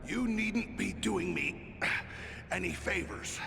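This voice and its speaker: An actor playing a gruff, stubborn dwarf warrior in a fantasy game, boastful and colloquial, with a rough gravelly voice. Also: male voice, slow, exaggerated voice. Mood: gruff boasting. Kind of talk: epic fantasy game voice acting. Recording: long echoing reverb, rumbling noise.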